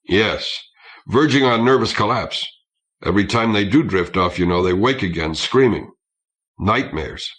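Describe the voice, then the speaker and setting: A man's voice: on the low side, animated, unhurried, a bit bright. A man voicing a weary, grave male starship officer in an audiobook, speaking in a clipped, matter-of-fact way.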